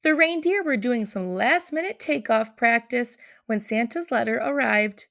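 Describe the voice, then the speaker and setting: A woman's voice: fairly high pitch, expressive, a little slow, gravelly, fairly bright tone. A woman narrating a picture book aloud for children. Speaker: storybook reader.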